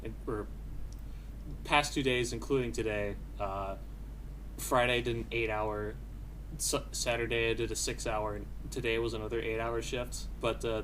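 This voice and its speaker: A man's voice: on the low side, a bit bright, a little hiss or hum, rough recording. A man hosting and editing a casual podcast, rambling and self-deprecating as he chats. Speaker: podcast host and editor. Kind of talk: casual chat.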